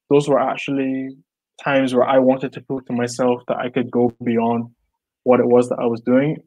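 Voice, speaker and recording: A young man from Barbados speaks in a mid-pitched voice, earnest and thoughtful, circling back and restarting his phrases as he works out his thoughts. He is on a video call through earbuds from a small room at home. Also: darkish tone, low-quality recording. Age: young adult. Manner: earnest, reflective, many likes and restarts. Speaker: artist guest. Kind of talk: casual video call interview.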